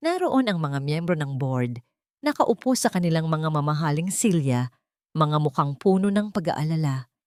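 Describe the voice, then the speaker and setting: A woman's voice: low voice, no echo, clean studio sound. A woman reading a dramatic scripted story as an audio drama narrator.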